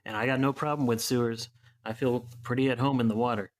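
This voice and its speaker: A man's voice: medium pitch, steady tone, fast talker, natural voice. A man playing an easygoing, confident rebel adventurer in a tabletop game, with an unworried manner.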